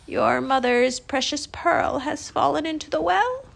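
A woman acts out a grumpy, dreamy old man from a children's tale. The delivery is slow and drowsy, and it drifts up and down widely in pitch. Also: expressive, vocal fry, full character voice. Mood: surprised. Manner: unhurried, dreamy, grumbling.

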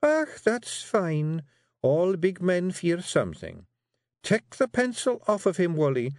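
A man's voice: high voice, sing-song, darkish tone, no echo, studio quality, voice pushed up, exaggerated voice. Man doing a sly pixie wife. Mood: coaxing. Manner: coaxing, brisk, sly. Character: sharp clever female pixie clan matriarch. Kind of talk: audiobook character voice.

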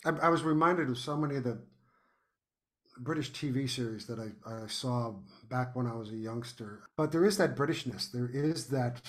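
A man speaking as an enthusiastic podcast guest, effusive and emphatic in casual conversation. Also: medium pitch.

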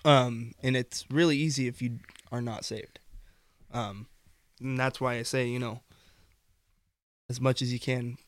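A man co-hosting a podcast in casual faith discussion, earnest and rambling, his voice going hoarse. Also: medium pitch, fairly even intonation, unhurried, pauses often. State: losing his voice, coughing. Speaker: podcast co-host.

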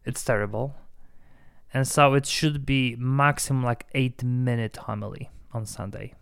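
A man's voice: medium pitch, steady tone, a little slow, gravelly, a bit bright, smooth, no echo, clean studio sound. A man who is a priest with Polish as his first language, chatting casually on a podcast with lots of fillers and restarts.

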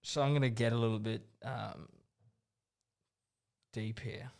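A man's voice: medium pitch, steady tone, measured pace, vocal fry, a little mellow. Man, new zealand accent, podcast host, laid-back, swears casually, laughs a lot. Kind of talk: casual interview chat.

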